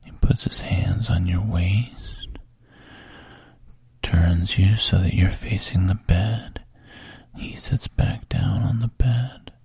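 A man narrating a second-person story in a slow, breathy, intimate whisper. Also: low-pitched, fairly even intonation, dark tone, no echo, clean studio sound.

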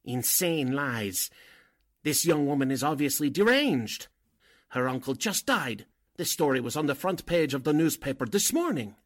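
An actor playing a crooked cult leader and fake medium in a 1940s-style radio drama, formal and theatrical in manner. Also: male voice, a little high, big pitch swings, normal speed. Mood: indignant, flustered. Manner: formal, theatrical, commanding or pleading.

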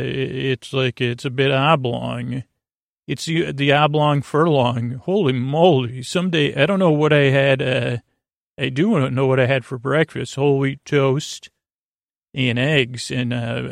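Creaky-voiced man rambling you to sleep. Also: mid-range voice, fairly even intonation, no room sound, clean studio sound. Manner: meandering, halting, goofy tangents and self-interruptions. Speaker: podcast host. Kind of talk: rambling bedtime monologue for sleep.

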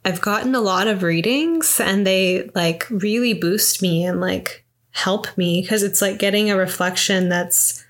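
A young woman talks in a relaxed, reflective way on a podcast. She speaks softly and searches for her words with many fillers. Her pitch sits in a middle range. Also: measured pace. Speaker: podcast co-host. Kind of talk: casual conversation about astrology.

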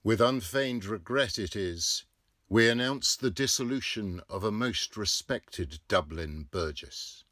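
A man's voice: on the low side, lively intonation, slow pace, a bit bright, dry recording, studio quality, voice pushed up. A man voicing a pompous official who proclaims newspaper notices in a declamatory audiobook reading. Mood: pompous, booming.

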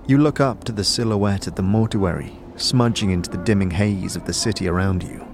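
A man's voice: low-pitched, brisk, a little hiss or hum. A man narrating a fantasy audio drama in scripted second-person storytelling.

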